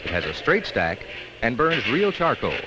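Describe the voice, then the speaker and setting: An American man reads scripted training film narration in an instructional way with measured emphasis, on an old 1960s film soundtrack. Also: medium pitch, huge pitch swings, heavy background noise.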